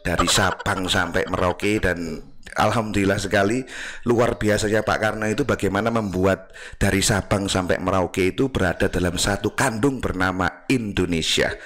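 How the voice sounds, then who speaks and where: A man's voice: deep voice, fairly even intonation, moderate pace, a bit bright. A man hosting a casual commentary, chatty with laughing asides. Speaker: commentary host.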